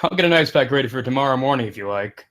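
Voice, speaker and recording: A man's voice: mid-range voice, expressive, very fast, a bit bright, close to an everyday voice. A man playing a genial, amused party leader in a screenplay table read. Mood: amused, rallying.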